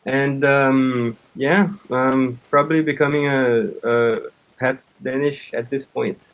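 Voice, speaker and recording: A man in his thirties from Brazil with a Brazilian accent, a native Portuguese speaker, giving casual interview answers in a halting way. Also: mid-range voice, fairly even intonation, lo-fi sound. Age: 30s. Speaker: interview guest, comic artist and game developer.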